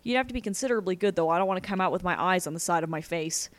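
A sly storybook assassin held prisoner, played by a woman. The delivery is taunting and sardonic with a hidden unease, sitting fairly low for a female voice and recorded close and dry. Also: very fast, dry recording, close to an everyday voice. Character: sly, menacing young male assassin prisoner, secretly fearful.

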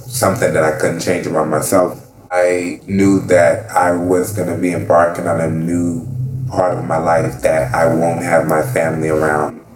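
A man's voice: sits low, roomy sound, low-quality recording. A man in his thirties from the Bahamas, speaking earnestly and reflectively in an oral history interview, often saying "you know". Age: 30s. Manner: earnest and reflective, with frequent fillers.

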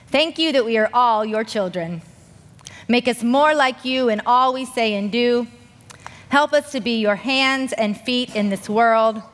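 A woman pastor leads a prayer with measured, solemn recitation and a very slow pace. Her slightly high voice rings through a large church hall. A faint congregation sound sits behind her. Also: a bit bright. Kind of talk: liturgy and prayer.

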